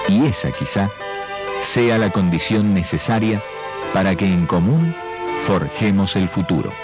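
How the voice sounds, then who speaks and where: A man reading scripted documentary narration in a formal, measured way. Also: deep voice. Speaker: documentary presenter.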